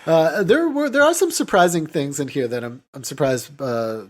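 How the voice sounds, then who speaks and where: A man's voice: fairly high pitch, expressive, normal speed. Rambling casual man, podcast host. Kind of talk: casual film discussion.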